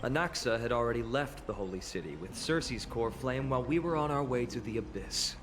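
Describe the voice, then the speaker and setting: Man as young warrior hero, earnest, resolute, anime game acting, mid-pitched, echoing over music. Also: expressive, brisk, background noise, lightly acted. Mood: determined, strategic.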